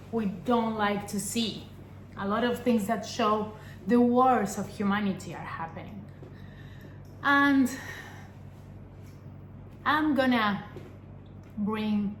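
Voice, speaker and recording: A young adult woman from Argentina, a vlogger giving an earnest personal talk to camera, pausing often and asking rhetorical questions. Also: medium pitch, a little hiss or hum. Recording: echoey room with faint ambience.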